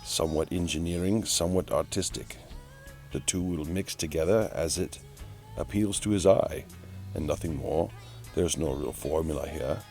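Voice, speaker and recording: Casting: man acting a theatrical play-by-play announcer, deep and creaky, pompous, teasing, musing aloud. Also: a little mellow, faint music behind. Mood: wry musing. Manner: grandiose, drawn-out, musing aloud, mock-dramatic. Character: Chaz Leroy, flamboyant, theatrical male announcer, grandly teasing.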